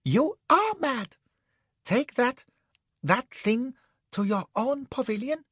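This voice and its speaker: A man voicing a frantic, squealing man, a eunuch at a palace, high-pitched and near tears. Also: very animated, takes it slowly, a bit bright, husky, no echo, heavily acted. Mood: outraged.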